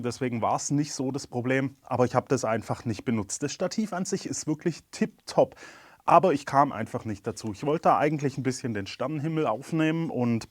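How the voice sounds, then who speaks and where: A man's voice: mid-range voice, no echo. A man with a cold, candidly talking through his camera gear in a casual review. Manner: candid, chatty, plain-spoken. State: has a cold.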